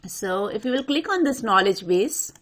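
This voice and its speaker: A woman presenting an unscripted software tutorial, talking the viewer through each step in an instructional way. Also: medium pitch, lively intonation, moderate pace. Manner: instructional, addresses viewer directly. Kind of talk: step-by-step screen walkthrough, unscripted.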